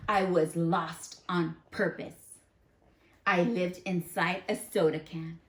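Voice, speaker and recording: A woman's voice: fairly low pitch, fairly even intonation, slow, echoey room, pitched below the performer's own voice. A woman voicing a small male seed from a storybook, an earnest reformed troublemaker who confesses with emphatic phrasing. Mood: glum, defiant.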